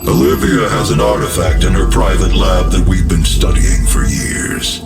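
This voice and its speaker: Game villain-mentor: deliberate cyborg corporate chief, very low voice, distant under loud music. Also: male voice, creaky. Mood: grave, measured. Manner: slow, deliberate, authoritative. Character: commanding, calm male cyborg head of a corporation. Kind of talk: video game cutscene acting.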